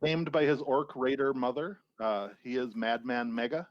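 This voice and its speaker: A man casually describing his tabletop game character in a halting, understated way, on a slightly dull microphone. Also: mid-range voice. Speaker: tabletop RPG player.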